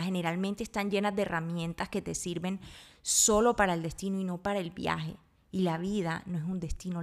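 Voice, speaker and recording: Woman, slightly low voice, podcast host, reflective monologue, informal, earnest.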